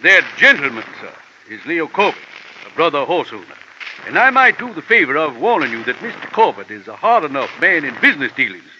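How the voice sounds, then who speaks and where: A man's voice: on the high side, big pitch swings, a little fast, sharp tone, slight background noise, exaggerated voice. An actor playing a pompous older man colonel and horse owner in an old radio drama, formal and stiff in his speech. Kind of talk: 1950s radio drama acting. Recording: dull lo-fi old radio broadcast.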